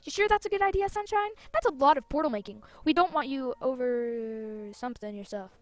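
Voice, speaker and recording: A woman's voice: high voice, fairly quick, dry recording, natural voice. Earnest young heroine, read by a woman. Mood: concerned. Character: earnest, determined young female fantasy riders.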